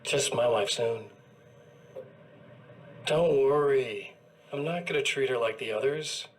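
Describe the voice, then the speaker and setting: An actor playing a smug, menacing evil doppelganger who gloats in a cool, intimate, taunting way. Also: male voice, mid-range voice, takes it slowly, slight background noise, close to an everyday voice. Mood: gloating. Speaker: villain. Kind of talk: video game cutscene acting.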